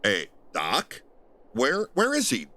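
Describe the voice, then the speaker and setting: An earnest, solemn space officer, acted by a man, presses his point urgently with a dramatic, varied pitch. It sounds like a retro science-fiction radio parody, recorded very dry. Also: wildly swooping pitch, slow pace, sharp tone, no room sound, exaggerated voice.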